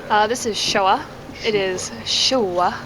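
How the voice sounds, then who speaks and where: A woman's voice: mid-range voice, takes it slowly, a crowd in the background. A woman narrating a travel clip on location, giving simple, curious commentary. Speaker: travel vlogger. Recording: noisy on-location travel recording.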